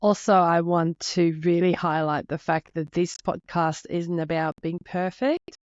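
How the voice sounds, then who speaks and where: A woman's voice: lowish voice, steady tone, a little slow, darkish tone, no room sound, muffled recording. A woman in her thirties from Finland with a Finnish accent, hosting her own podcast in an earnest, openly nervous, heartfelt way. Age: 30s. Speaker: podcast host. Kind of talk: solo podcast monologue.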